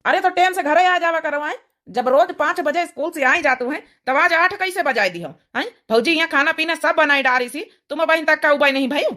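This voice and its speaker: A woman's voice: high voice, fairly quick, hardly any pauses, pushed and bright. A woman voicing an exasperated young village husband in a cartoon comedy, chiding in a rustic Awadhi accent. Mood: scolding.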